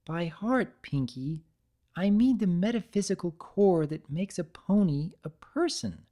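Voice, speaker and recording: A woman's voice: fairly low pitch, lively intonation, unhurried, mellow, pitched above the performer's own voice. A woman voicing a thoughtful, level-headed young female cartoon pony in a story reading, with precise, reasoning delivery. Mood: explaining.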